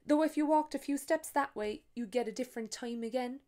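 A woman's voice: high-pitched, brisk, a little mellow, pitched above the performer's own voice, lightly acted. A woman reading aloud voices a sixty-eight-year-old Cork woman with a dry, teasing, emphatic manner and a Cork accent. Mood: wry teasing. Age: 60s. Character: sharp-tongued old Cork woman, 68, wry.

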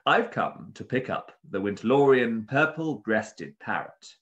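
A man's voice: animated, unhurried, a bit bright, highs cut off. A man voicing a shifty, nervous male villain in a storybook, speaking with evasive, hesitant politeness. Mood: falsely polite. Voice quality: high and shaky when nervous. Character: terrible, shifty, nervous wealthy male bird buyer.

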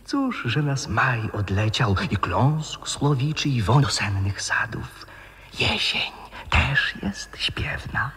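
An actor playing a sly, gently teasing bird-catcher from opera in a 1960s radio drama, hushed and insinuating, with a creaking, whispery voice. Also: male voice, mid-range voice, very animated, slow, exaggerated voice. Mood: secretive. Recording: reverberant 1960s radio play recording.

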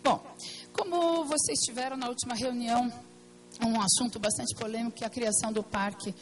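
A woman with a hoarse, partly lost voice, giving a hesitant technical presentation at a council meeting, full of fillers and restarts. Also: fairly high pitch, steady tone, brisk, soft background music, rough recording. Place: meeting room at a plenary session.

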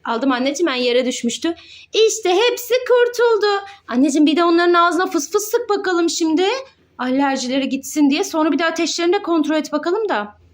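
A woman's voice: very high-pitched, expressive, brisk. A woman playing with her child, narrating toy doctor play in a sing-song, encouraging way and explaining each step.

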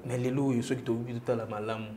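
A man's voice: mid-range voice, fairly even intonation, fast, mellow, slight background noise. A young man hosting a studio interview, pressing his guest with probing questions. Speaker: interview host. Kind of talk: studio interview, mixing French and Lingala. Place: TV studio, indoors. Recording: roomy studio sound.

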